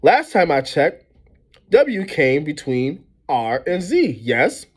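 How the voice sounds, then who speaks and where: A man voicing a brisk, annoyed female middle school teacher who loudly herds pupils in a storybook reading. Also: a little high, wildly swooping pitch, breathy edge, voice pushed up, exaggerated voice. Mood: stern. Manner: loud, insistent, commanding.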